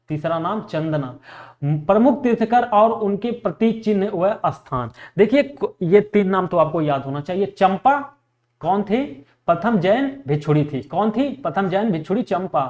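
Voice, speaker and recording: A man's voice: high-pitched, lo-fi sound. A man teaching an exam lesson, repeating key facts and asking questions that he answers himself. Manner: repetitive, asks questions and answers them himself. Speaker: history teacher for exam preparation. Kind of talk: classroom lecture drilling facts for exams.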